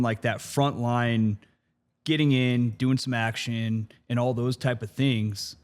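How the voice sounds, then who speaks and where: A man's voice: mid-range voice, big-room sound. A man in his thirties hosting a podcast, asking long, winding questions. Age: 30s. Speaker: podcast host. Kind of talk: casual podcast interview.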